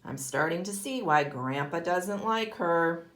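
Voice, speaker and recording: A woman kindergarten teacher reading a picture book aloud expressively to young children, pausing to ask them questions. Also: lowish voice, lively intonation, fairly bright tone. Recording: roomy, echoey sound.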